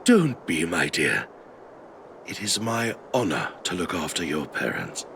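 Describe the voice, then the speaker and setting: Deepish elderly retainer, deferential, very slow, swooping intonation, game dialogue. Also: male voice, wildly swooping pitch, creaky, a little hiss or hum, heavily acted. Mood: humble. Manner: formal, gentle, deferential. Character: kindly, courteous, loyal old family retainer. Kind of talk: video game voice acting. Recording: music playing behind the voice.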